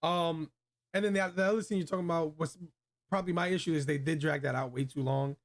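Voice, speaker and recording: A man with a fairly high voice chats as a guest on a review. He is easygoing and agreeable, talking the way friends do. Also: steady tone, quick pace. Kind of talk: casual chat review.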